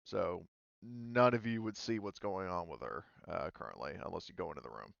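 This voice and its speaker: A man's voice: low voice, moderate pace, gravelly, fairly bright tone, no room sound, highs cut off. A man running a tabletop game as game master, rambling along with lots of fillers and self-corrections.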